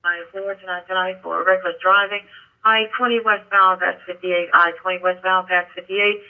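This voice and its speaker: A man's voice: high voice, little pitch movement, moderate pace, phone-quality sound. A man working as a police officer or dispatcher, speaking radio traffic over a two-way scanner channel.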